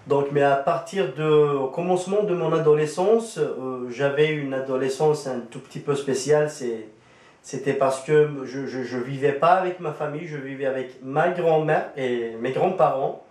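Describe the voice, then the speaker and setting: A man's voice: on the high side, normal speed, darkish tone. Hesitant man, roomy and distant. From Algeria. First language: Kabyle. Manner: hesitant, many fillers and restarts. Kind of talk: casual spoken testimony. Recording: roomy echo, distant from the mic.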